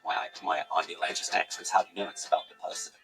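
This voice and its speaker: Deep-voiced man in an interview. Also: fairly even intonation, fast, bright, forceful tone, soft background music. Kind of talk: interview testimonial.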